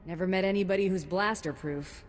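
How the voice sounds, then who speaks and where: A woman's voice: lowish voice, brisk, big-room sound, faint music behind, natural voice. A woman playing a confident, wry bounty hunter with cool, glib delivery in a video game. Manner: cool, glib one-liners.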